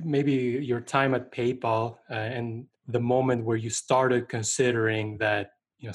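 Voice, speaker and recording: A man's voice: medium pitch, darkish tone, husky. A man hosting an interview podcast, presenting and putting questions to a guest. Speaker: podcast host. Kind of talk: scripted introduction and interview.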